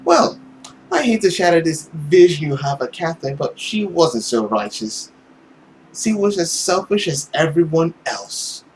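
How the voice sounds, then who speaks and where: A man voicing a smug, scornful nobleman villain in a visual novel, drawling and sarcastic with theatrical pauses. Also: a little high, sing-song, a little hiss or hum, rough recording. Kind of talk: visual novel character acting.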